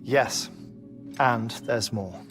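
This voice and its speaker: A man playing an earnest, eccentric middle-aged scientist in a video game cutscene, lecturing deliberately with theatrical pauses. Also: medium pitch. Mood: grave, explanatory. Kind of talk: cinematic video game acting. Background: music.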